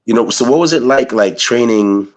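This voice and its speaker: A man's voice: mid-range voice, moderate pace, poor audio. A man from Guyana hosting an interview, asking loose, rambling questions padded with "you know" and "man". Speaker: interviewer, podcast host. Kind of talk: casual interview chat.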